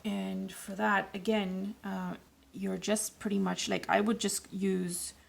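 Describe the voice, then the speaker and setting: A woman with a raspy voice today, giving loose, rambling, self-correcting instructions in a casual watercolour tutorial. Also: mid-range voice, flat delivery, slow pace. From Canada. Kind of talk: casual painting tutorial voice-over.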